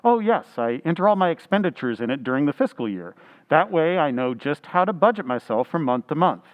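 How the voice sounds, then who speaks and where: A man's voice: a little high, very animated, brisk, muffled recording. A man playing a naive, frugal, earnest bachelor in old-time radio comedy style, speaking with fussy precision.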